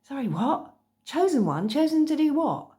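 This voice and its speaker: A woman's voice: fairly low pitch, sing-song, normal speed, gravelly, darkish tone. A woman voicing an outspoken, bewildered fifteen-year-old schoolgirl with an incredulous, questioning manner in a storybook reading. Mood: puzzled.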